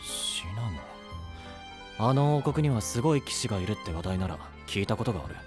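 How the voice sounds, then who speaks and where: A man's voice: fairly low pitch, big pitch swings, moderate pace. A man playing a brusque, self-doubting young runaway in a fantasy audio drama, blunt, casual and brooding. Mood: wary, blunt. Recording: voice over background music.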